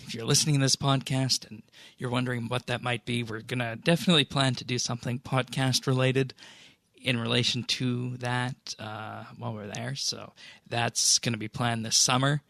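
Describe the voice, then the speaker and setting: A man hosting a podcast, reading out announcements and updates in a measured, businesslike way. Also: medium pitch, flat delivery, creaky, fairly bright tone, no echo. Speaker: podcast host.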